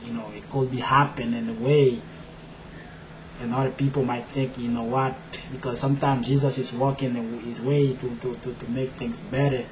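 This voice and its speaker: A man from Haiti with a Haitian accent, telling his faith story to his viewers in a rambling way with many fillers, moving from soft and halting to loud and forceful. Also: mid-range voice, level tone, highs cut off, noisy background. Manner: rambling, many "you know" fillers, quiet at first and growing loud and emphatic. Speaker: video blogger. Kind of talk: personal testimony to camera.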